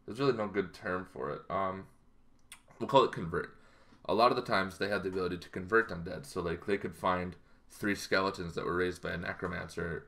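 A man in his thirties talking casually to camera in an explanatory way, halting and improvised, in a small bedroom. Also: low voice, fairly bright tone. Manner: halting, loosely improvised delivery. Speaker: tabletop roleplaying game vlogger.

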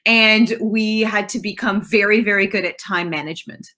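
A woman in her thirties from Switzerland, a guest on a video-call interview, answering in a thoughtful, measured way. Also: mid-range voice, steady tone, crowd noise. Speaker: guest, digital art consultant. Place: home office with bookshelves.

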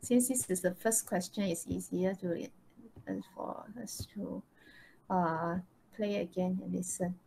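A woman's voice: lowish voice, fairly even intonation, measured pace, gravelly, warm tone, a little hiss or hum, rough recording. A woman teaching an English lesson on a video call, checking understanding with short questions. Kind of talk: interactive online lesson.

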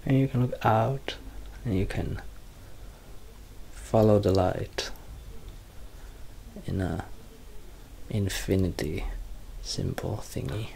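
Slow, soft-spoken young man doing ASMR. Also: on the low side, vocal fry, slight background noise. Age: young adult. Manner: hesitant, halting, drifting into whispers. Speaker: ASMR performer playing a bumbling doctor. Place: small curtained room at home, indoors.

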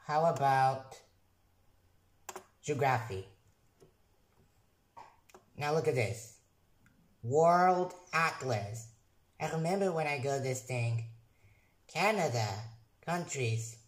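A young man who is not a native English speaker, casually reviewing software on his computer and reading text aloud haltingly, restarting and correcting words. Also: fairly high pitch, lively intonation, reverberant. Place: room at a computer.

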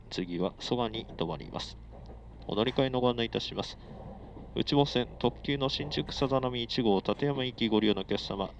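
A man making formal, polite train announcements as the conductor, heard over an onboard speaker. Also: lowish voice. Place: inside a moving commuter train. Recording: muffled onboard speaker with noise. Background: faint train and air-conditioning noise.

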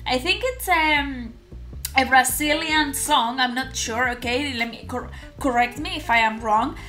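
A young woman from Spain with a Spanish accent, chatting casually to camera as a reaction host in an animated, halting, giggly way. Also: sits high, soft background music. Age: young adult. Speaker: host of a music reaction channel. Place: small room at home, indoors.

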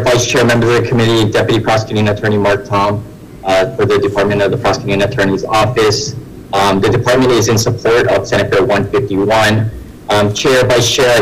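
A man's voice: lowish voice, low-quality recording. A man, a deputy prosecutor giving formal testimony over a video call from an office, explaining with many fillers. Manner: hesitant, filler-heavy legal explanation.

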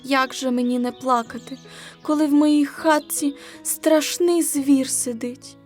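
Woman as a high, plaintive little bunny. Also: fairly even intonation, measured pace, a little mellow, faint music behind. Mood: tearful, doubtful. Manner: plaintive, high-voiced lamenting. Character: small, sad, tearful bunny driven from his home.

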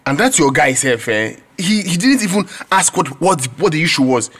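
A man playing an aggrieved, awkward young Nigerian student in an audio drama, halting and complaining. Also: fairly high pitch, sing-song, fairly bright tone. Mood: bitter. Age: young adult.

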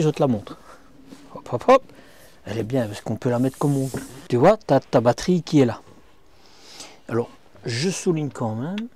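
A man's voice: on the high side, a little mellow, slight background noise. A middle-aged man giving a chatty walkthrough of a camper van's interior, talking straight to the viewer on a clip-on mic. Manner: chatty, addresses viewer directly, frequent hop-hop and hein. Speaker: motorhome reviewer. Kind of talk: casual walkthrough vlog. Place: inside a camper van. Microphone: small clip-on mic.

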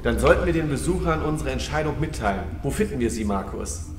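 A man's voice: medium pitch, animated, quick pace, noisy background, close to an everyday voice. A man playing a wry, commanding podcast moderator turned space hero in an amateur audio drama. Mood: decisive. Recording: echoey, distant, music underneath.

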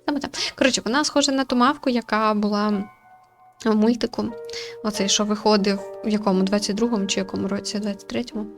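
A woman's voice: medium pitch, steady tone, fast talker, faint music behind. A woman reading a visual novel aloud as a let's player, with informal, playful commentary. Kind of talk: scripted narration with casual gaming commentary.

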